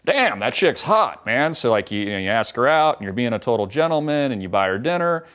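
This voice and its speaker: A man's voice: mid-range voice, lively intonation, fast, smooth. A man hosting a show, delivering a sarcastic, profane, mocking rant as a monologue. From United States. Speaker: show host. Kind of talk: ranting political monologue.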